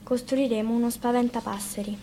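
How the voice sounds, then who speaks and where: A woman's voice: a little high, steady tone, fairly quick, warm tone, lightly acted. Woman as a confident, bossy little boy, noisy recording. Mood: firm, instructing. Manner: matter-of-fact, firm. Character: confident, bossy six-year-old boy in a fairy tale.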